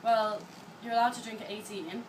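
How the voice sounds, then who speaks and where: A woman's voice: fairly high pitch, fairly even intonation, moderate pace. A young woman, a student chatting casually in an interview. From Manchester, England. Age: young adult. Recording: distant mic with background noise.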